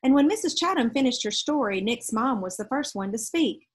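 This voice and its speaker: Husky, higher-pitched woman reading aloud. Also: animated, fairly quick. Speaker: read-aloud storyteller. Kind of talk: expressive storybook reading to children. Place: outdoors on a leafy backyard porch.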